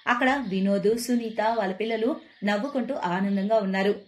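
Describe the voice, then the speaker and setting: A woman's voice: mid-range voice, fairly quick, a little mellow. A woman narrating a scripted moral story.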